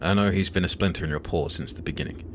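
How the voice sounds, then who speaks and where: Man as plucky young-spirited mortal, very deep voice, rapid, nervy, audio drama, noisy background. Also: close to an everyday voice. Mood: bold, hurried.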